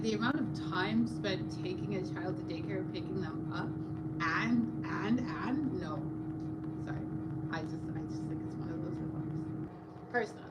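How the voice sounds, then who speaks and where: A woman from Toronto giving a frank, conversational finance workshop, quizzing her audience as she goes. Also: fairly low pitch. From Toronto, Canada. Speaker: workshop presenter. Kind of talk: interactive finance workshop talk. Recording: distant mic, loud background noise.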